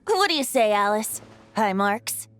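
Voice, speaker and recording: A woman's voice: medium pitch, very animated, a little slow, a bit bright. A woman voicing a poised, gracious, playful character in an anime-style fantasy video game, lightly amused as she talks. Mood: pleased, welcoming.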